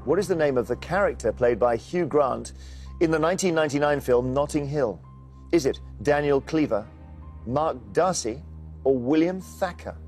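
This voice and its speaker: A man's voice: on the high side, moderate pace, a little mellow, soft background music. Man hosting a TV quiz. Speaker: quiz show host. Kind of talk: scripted game show presenting. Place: television studio.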